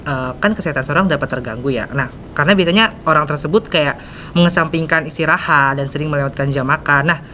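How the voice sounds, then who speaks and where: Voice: mid-range voice, fast talker, sharp tone, slight background noise. A teenage podcast host with a Jakarta accent, chatting casually and mixing English into slangy, informal speech. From Indonesia. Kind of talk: casual interview chat.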